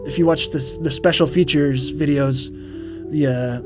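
A man in his thirties giving a rambling, relaxed behind-the-scenes commentary with quaintly formal turns of phrase, full of ums and uhs. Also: fairly high pitch, unhurried. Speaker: puppeteer and co-host of a commentary track. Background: faint background music.

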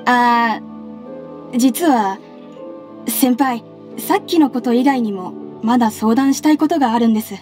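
An actress playing an earnest, respectful young female secretary in a Japanese anime game, speaking politely and with measured care. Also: fairly high pitch, close to an everyday voice. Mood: polite, hesitant. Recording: studio voice over game music. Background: soft background music.

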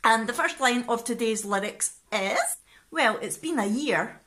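An excitable Scottish woman with a bright, fairly high voice talks in a casual, chatty vlog style. She is in her thirties and speaks into a phone at home. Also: unhurried. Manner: excitable, expressive. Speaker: family vlogger. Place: living room at home. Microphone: phone at arm's length.

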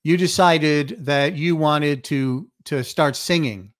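A man's voice: on the high side, slow pace, no echo, studio quality. Easygoing man hosting a podcast. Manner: easygoing, frequent short backchannels. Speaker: podcast host. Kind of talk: casual interview chat. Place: home room with posters, video call. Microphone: studio mic on a boom, close.

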